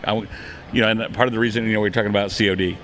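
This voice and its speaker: A man's voice: on the low side, fairly even intonation, very fast, fairly bright tone, slight background noise. A middle-aged man, a business owner appearing as a guest on a casual talk show, speaking plainly and agreeing often. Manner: plainspoken, frequent short agreements.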